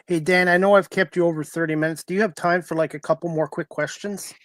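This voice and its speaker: A man's voice: a little high, fairly even intonation, fast, a bit bright, dry recording. A man hosting a sports talk show, asking questions in a casual, polite and deferential way.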